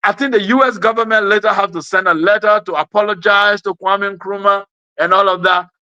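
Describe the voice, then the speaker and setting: A man's voice: high-pitched, steady tone, a little fast, sharp tone, clean tone, no echo, muffled recording. A man with a West African accent gives an impassioned political speech, emphatic and repeating phrases for effect. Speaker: speaker giving an address.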